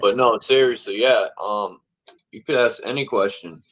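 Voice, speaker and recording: Male stream host, low pitch, laid-back rambling chat. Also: a bit bright, poor audio. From Connecticut, USA. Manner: loose and rambling, with halting restarts. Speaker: livestream panel host. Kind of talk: casual livestream chat.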